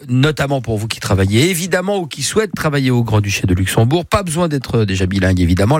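Un homme animateur de matinale radio avec un lorrain, qui parle sur un ton bavard et blagueur, avec beaucoup d'hésitations. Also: male voice, medium pitch, no room sound, clean studio sound. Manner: chatty, joking, many fillers and restarts. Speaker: radio host. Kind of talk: morning radio chat. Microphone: studio microphone.

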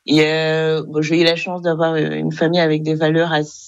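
A young woman from Rwanda, guest on a radio interview, who speaks hesitantly with many fillers and soft laughs. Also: deep voice, completely flat delivery, a little fast, no room sound, dull audio.

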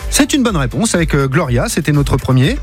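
A male radio host with a fairly high voice that swings up and down. He jokes and teases in a warm, familiar way, with light music behind him. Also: sing-song, brisk. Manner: jovial, teasing, familiar with callers. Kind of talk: live call-in radio chat.

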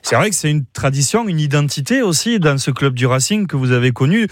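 A man hosting a radio sports interview in a studio, asking brisk, friendly questions. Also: fairly high pitch, expressive, no room sound. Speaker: radio host.

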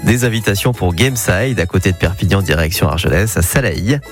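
A jovial radio host runs a call-in game on air. He talks in a chatty, lively way and jumps higher in pitch to announce each answer. Faint music plays under his voice in the studio. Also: fairly quick. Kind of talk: upbeat radio game hosting.

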